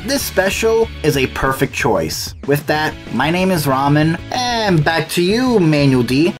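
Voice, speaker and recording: A man's voice: a little high, sing-song, measured pace. A man reading a polished, scripted review as a guest narrator, in a promotional style. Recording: music behind the voice.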